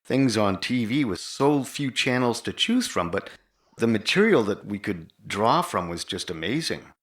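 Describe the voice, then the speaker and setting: A man's voice: fairly low pitch, very animated, measured pace. An older man hosting a conversational interview. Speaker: podcast host interviewer.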